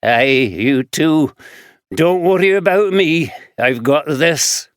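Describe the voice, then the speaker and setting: A man voicing a grubby, gruff homeless Glaswegian man who coughs and rambles, with a Glaswegian accent, in a storybook reading. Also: on the high side, animated, slow, no echo, studio quality, pitched above the performer's own voice. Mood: weary.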